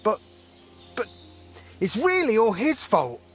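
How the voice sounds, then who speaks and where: Self-pitying young craftsman's helper for a video game, high and animated, whiny and faltering. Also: slow, slightly rough, soft background music, heavily acted. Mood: miserable, defensive. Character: Pushko, woeful, self-pitying young bell-founder's helper, man.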